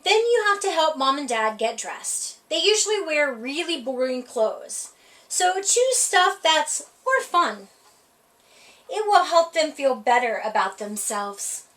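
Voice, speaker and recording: A woman with a high voice reads a children's picture book aloud at storytime, her pitch swinging widely as she plays up the lines. The delivery is playful and expressive, and she sounds set back from the microphone. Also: takes it slowly. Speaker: library storytime reader. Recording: voice heard from a distance off the mic.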